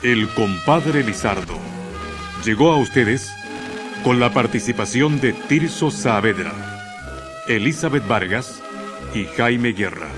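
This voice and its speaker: A man's voice: medium pitch, lively intonation, slow. A man with a Chilean accent narrating a scripted radio drama in a solemn, literary storytelling manner. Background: music.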